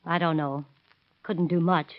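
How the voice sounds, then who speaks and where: An actress in a 1930s radio crime drama playing a curt, defensive widow under suspicion. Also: female voice, fairly low pitch, steady tone, measured pace. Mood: sullen, defensive. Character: defensive, guarded widow suspected of murdering her husband. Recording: muffled vintage 1930s radio recording.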